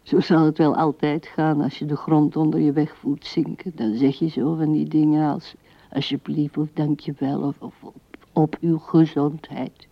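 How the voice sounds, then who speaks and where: A woman's voice: deep voice, little pitch movement, no echo. Een vrouw speelt een oude weduwe die mijmerend en haperend tegen haar overleden man praat in een hoorspel. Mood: fond reminiscing. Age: older. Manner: musing, halting, with breathy asides. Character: old widow musing tenderly to her dead husband. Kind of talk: radio drama monologue. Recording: dull, band-limited 1970s radio recording.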